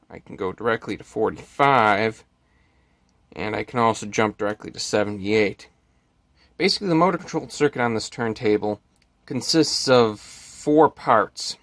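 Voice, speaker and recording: A man's voice: medium pitch, a bit bright, no room sound. Methodical man explaining tech, close dry sound. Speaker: hobbyist presenter demonstrating a device modification.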